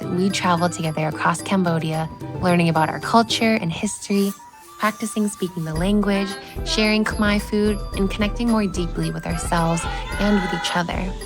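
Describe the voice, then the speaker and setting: Young woman host, earnest reflective narrator, lowish voice, soft music behind. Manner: earnest, heartfelt. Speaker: travel vlogger and trip host.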